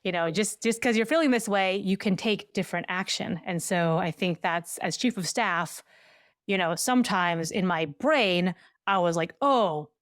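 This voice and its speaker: A woman's voice: lowish voice, moderate pace, no room sound. Casual woman host, dry close sound. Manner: anecdotal, informal, acts out quoted remarks. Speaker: podcast host. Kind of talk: casual interview chat.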